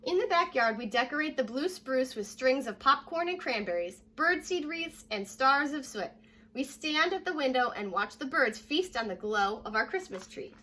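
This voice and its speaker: A young American woman reading a children's story aloud like a teacher, addressing young listeners directly in a lively way. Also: high voice, moderate pace, slight background noise. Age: young adult. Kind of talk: children's read-aloud with short asides. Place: living room at home, indoors.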